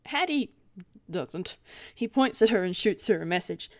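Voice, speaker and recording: Mid-pitched woman narrating roleplay. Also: animated, unhurried. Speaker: roleplay player and reader. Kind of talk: narrating character actions in roleplay.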